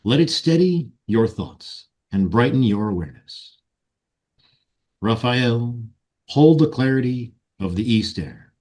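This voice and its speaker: A man leading a guided meditation and ceremonial invocation in solemn, deliberate short phrases. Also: medium pitch, lively intonation, darkish tone. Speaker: meditation guide and ritual leader.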